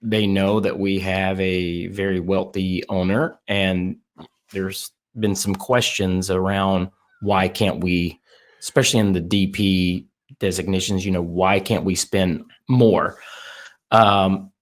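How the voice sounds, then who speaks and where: A man's voice: low-pitched, little pitch movement. Eager man hosting a soccer chat. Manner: eager, talks in quick bursts, asks the guest questions. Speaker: podcast host.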